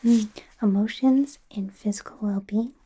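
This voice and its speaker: A woman playing an anxious, awkward young female werewolf medical student in a soft, breathy, whispered ASMR roleplay, speaking hesitantly with stammers. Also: medium pitch, steady tone, measured pace. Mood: gentle, hesitant. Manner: hesitant, stammering, flustered and gentle.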